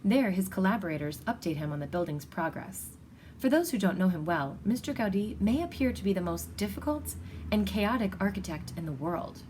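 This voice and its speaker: A woman reading a children's picture book aloud in an expressive, deliberate storytelling manner. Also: medium pitch, slight background noise. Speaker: storybook reader.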